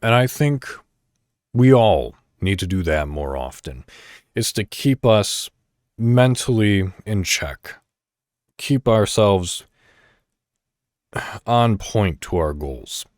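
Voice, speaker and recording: A man hosting a podcast, speaking in a reflective solo monologue straight to the listener with deliberate, emphatic phrasing. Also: on the low side, lively intonation, a little mellow, dry recording, clean studio sound. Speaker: podcast host.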